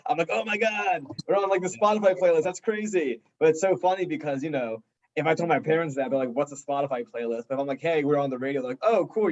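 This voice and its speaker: A man's voice: medium pitch, darkish tone. American man, slightly dull lo-fi sound. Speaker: band member, interview guest. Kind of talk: casual chat.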